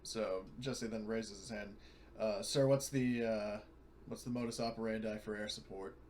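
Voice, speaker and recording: A man's voice: lowish voice, steady tone, normal speed, darkish tone. A man chatting casually as a player in a tabletop role-playing game, acting as a pilot. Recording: noisy mic with clicks.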